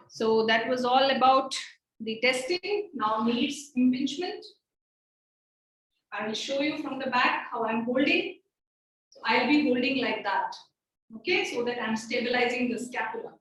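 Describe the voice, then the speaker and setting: High-voiced woman teaching in an echoey room. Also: fairly bright tone, slight background noise. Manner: explains step by step, checking with frequent "okay" and "right". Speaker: medical teacher. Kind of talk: live clinical demonstration. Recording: very echoey room, voice from far off the mic.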